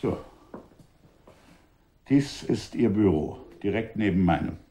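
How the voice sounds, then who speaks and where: A low, very soft-edged male voice playing a confident commissioner in a period radio drama. He is formal and assured, with dry irony in how he addresses a subordinate. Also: expressive, takes it slowly, a little hiss or hum. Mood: assured, wry. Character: wry, confident male commissioner general in revolutionary era. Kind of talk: German radio drama acting.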